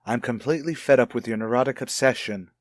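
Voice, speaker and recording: An actor playing a cold, short-tempered, domineering husband in a 1950s radio soap, formal and condescending. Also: male voice, medium pitch, quick pace, dry recording, clean studio sound, natural voice. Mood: angry. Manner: formal, condescending, clipped disapproval.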